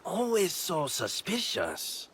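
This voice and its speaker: An actor playing a sly, self-satisfied informant in a video game, with a husky voice and a teasing, wry, sing-song manner. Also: male voice, a little high, huge pitch swings, slow pace, a bit bright, a little hiss or hum, full character voice. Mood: sly, playful. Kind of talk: video game cutscene acting.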